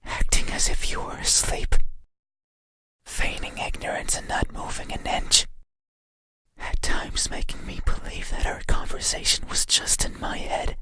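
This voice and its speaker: A man voicing an ageless, mysterious being in a horror visual novel, speaking a solemn, halting monologue in a breathy, voiceless whisper. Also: lightly acted. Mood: accusing, menacing. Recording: loud eerie music over whisper. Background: loud scary music.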